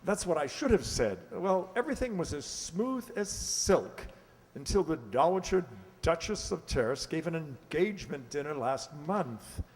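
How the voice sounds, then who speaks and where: A man's voice: a little high, sing-song, a little slow. An actor playing a flustered, hesitant middle-aged English lord and father in a radio play. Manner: hesitant, fussy, stammering. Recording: echoey hall, long reverb.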